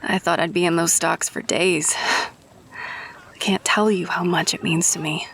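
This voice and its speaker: Woman playing friendly fantasy rogue, slightly low female voice, very slow pace, chummy and reflective, audio roleplay, ambience behind. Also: steady tone, gravelly, a bit bright, slight background noise. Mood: grateful, sheepish. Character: friendly, grateful, grubby rogue-for-hire just bailed out.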